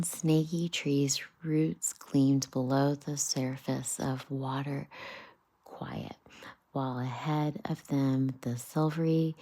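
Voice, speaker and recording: A woman's voice: deep voice, dark tone. A woman reading a bedtime storybook aloud as a parent on a livestream, with casual asides.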